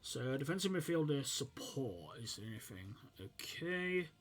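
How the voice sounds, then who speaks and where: Slow-talking man thinking aloud over a game. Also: medium pitch, sing-song. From United Kingdom. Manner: thinking aloud, hesitant, many fillers. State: recovering from a cold, slightly sniffly. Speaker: gaming channel host. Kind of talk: casual gameplay commentary.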